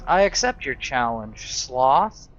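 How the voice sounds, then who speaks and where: A man role-playing and narrating over his video game in a deliberate, halting way. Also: medium pitch, very animated, fairly bright tone, soft background music. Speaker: gaming video host and role-player. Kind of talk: role-play narration and commentary over gameplay. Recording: dull lo-fi mic, clear background noise.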